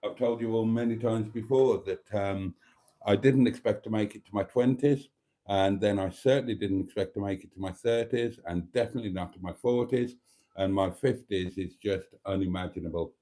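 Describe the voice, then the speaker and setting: A British man in his fifties, talking to his viewers in a relaxed, rambling way. His voice sits fairly low and sounds warm and mellow. He trails off into ums and calls the audience darlings. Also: normal speed. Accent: British English. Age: 50s. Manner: chatty and self-deprecating, calls viewers darlings; rambling with frequent ums and restarts. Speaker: vlogger host. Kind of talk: casual live-stream chat.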